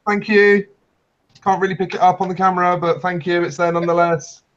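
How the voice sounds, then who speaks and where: A man's voice: high-pitched, flat delivery, brisk, a bit bright, dull audio. A man chatting casually as a panellist on a talk show. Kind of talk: casual chat.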